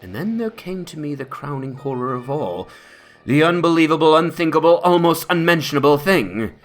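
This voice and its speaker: A man's voice: on the high side, animated, moderate pace, natural voice. A man playing a shaken, horror-stricken witness, narrating gothic testimony in a grave, theatrical way. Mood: stunned horror. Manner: theatrical, grave, swelling into anguished cries. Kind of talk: dramatic horror reading. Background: music.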